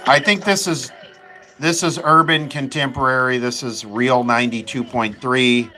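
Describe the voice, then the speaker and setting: An American man giving casual, off-the-cuff running commentary as a hobbyist host, haltingly thinking aloud. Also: mid-range voice, steady tone, sharp tone, faint music behind.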